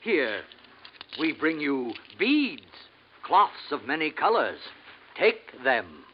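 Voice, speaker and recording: An earnest excitable scientist on a rocket crew, amazed, hesitant, swingy voice, muffled old radio. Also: male voice, on the high side, slow, exaggerated voice. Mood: careful, coaxing. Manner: hesitant, marveling. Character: awestruck male Earth scientist, earnest and excitable. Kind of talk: old-time radio acting. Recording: dull, noisy old radio broadcast.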